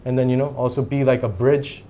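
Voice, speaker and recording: A man's voice: mid-range voice, fairly even intonation, a little fast, dark tone. A man in his forties whose first language is Japanese, talking as a company CEO in a podcast interview, chatty and telling stories with small laughs. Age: 40s. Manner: chatty storyteller, laughs between phrases, rambling. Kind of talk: casual interview conversation.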